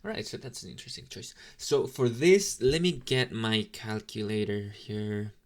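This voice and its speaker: A man playing an inexperienced, sleepy young demon in an ASMR roleplay, talking casually to the listener with halting, deadpan, mildly snarky asides. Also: fairly low pitch, lightly acted. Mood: distracted, businesslike.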